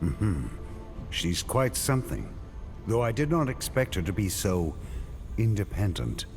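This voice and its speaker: An actor playing a stern, battle-hardened knight in a fantasy video game, speaking tersely and gravely, with a commanding manner. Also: male voice, on the low side, very animated, unhurried. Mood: guarded. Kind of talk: dramatic video game acting. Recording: background music.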